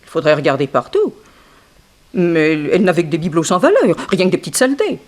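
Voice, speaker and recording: An actress playing a frank, wry young dancer in an early 1960s French radio mystery, theatrical and gossipy. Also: female voice, lowish voice, wildly swooping pitch, quick pace, a little mellow. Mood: matter-of-fact.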